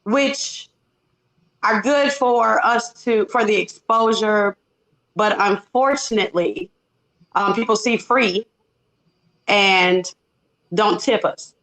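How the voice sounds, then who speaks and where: A woman's voice: mid-range voice, slow pace, long pauses, fairly bright tone, poor audio. A woman in her forties from Detroit, a singer chatting as a guest over a video call. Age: 40s. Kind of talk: casual video call interview.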